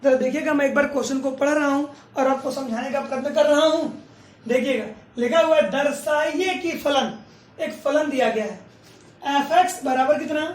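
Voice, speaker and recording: A male math teacher giving a classroom lecture, dictating slowly and asking then answering his own questions. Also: extremely high pitch. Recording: roomy echo from a distance.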